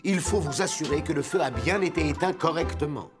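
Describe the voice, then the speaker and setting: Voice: mid-range voice, lively intonation, a little fast, music in the background, natural voice. An actor in a French cartoon dub playing a helpful rescue fire truck, giving safety advice in a didactic way. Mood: instructive. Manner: didactic, clearly phrased.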